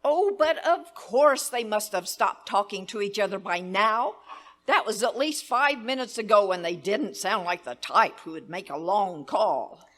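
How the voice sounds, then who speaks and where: A woman's voice: mid-range voice, lively intonation, measured pace, fairly bright tone. A woman playing a demanding, frantic wealthy woman who scolds the telephone operators, in an imperious, fretful voice, in a live staging of an old-time radio play. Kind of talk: live radio play staged as 1930s radio acting.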